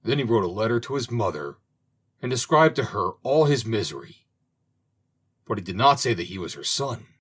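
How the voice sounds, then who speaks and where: A man reading an old fairy tale aloud as an audiobook narrator, in a slow, expressive manner. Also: fairly low pitch, husky. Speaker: audiobook reader. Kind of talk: storybook narration.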